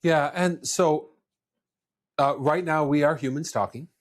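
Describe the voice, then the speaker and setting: A man's voice: on the high side, measured pace. A man speaking casually as a podcast host, explaining his views with restarts and asides.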